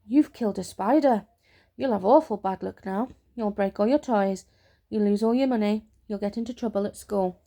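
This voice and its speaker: A woman's voice: medium pitch, normal speed, dark tone, close to an everyday voice. A woman voicing a naughty young girl in a storybook who teases with mock shock and solemn concern.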